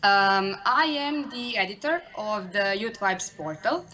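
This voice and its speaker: A young woman of about twenty with a Serbian accent speaks over a video call to a conference. Her voice is bright and clear, at a middle pitch. She speaks carefully and haltingly, stopping often as she goes. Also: animated, highs cut off. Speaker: youth portal editor, conference speaker. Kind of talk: conference presentation.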